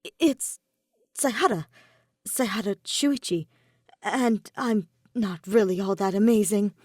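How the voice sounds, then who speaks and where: A woman voicing a shy, modest teenage boy detective with a quiet, hesitant manner, in a fan fiction audiobook. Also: mid-range voice, a little slow, dry recording, clean studio sound, natural voice. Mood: shy, nervous.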